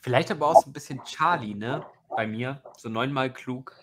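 A young man hosts a casual group chat about books. His voice sits at a medium pitch. The video-call audio carries clear background noise and some clicking. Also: normal speed, a bit bright. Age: 20s. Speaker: livestream host. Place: home room with bookshelves, indoors.

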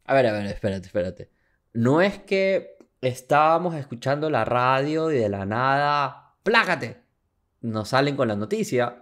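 A man giving casual storytelling commentary as a podcast co-host. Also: medium pitch.

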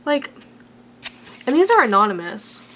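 A woman's voice: high-pitched, sing-song, a little slow, a bit bright, a little hiss or hum. Chatty young woman vlogging casually. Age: young adult. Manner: chatty, bubbly, rambling, with frequent "like". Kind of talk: casual vlog chat.

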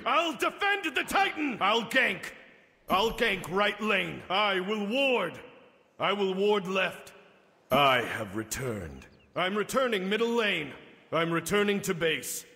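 An actor playing a vengeful winged god of death in a video game, speaking in grave, menacing, theatrical pronouncements. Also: male voice, high-pitched, expressive, measured pace, bright, forceful tone, long reverb tail, exaggerated voice. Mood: resolute. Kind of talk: video game character voice lines.

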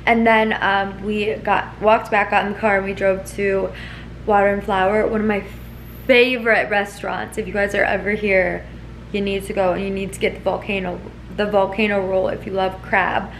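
Twenty-something girl rambling to her camera at home, mid pitch, faint room hum. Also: little pitch movement, moderate pace, low-quality recording. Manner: chatty, rambling, lots of fillers. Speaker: vlogger. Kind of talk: casual vlog chat to camera. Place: home living room and kitchen. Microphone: camera at arm's length.

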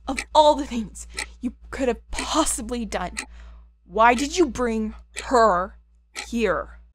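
A woman's voice: a little high, animated, takes it slowly, no room sound. A woman playing an exasperated, skeptical young friend of the hero in an audio drama, curt and sarcastic.

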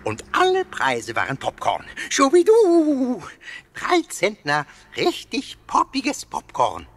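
A cheeky little castle ghost for a children's audio play. The voice is rough and husky and leaps all over the scale. It is full of boasting and self-satisfied repetition. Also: male voice, very high-pitched, huge pitch swings, takes it slowly, a bit bright, heavily acted. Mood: boastful indignation. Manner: repeats sentence endings, self-satisfied. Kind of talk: children's audio drama acting.

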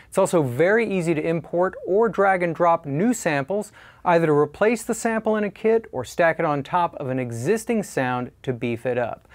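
A man in his forties giving a scripted product review in a measured, clearly articulated presenter style. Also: a little high, a little mellow.

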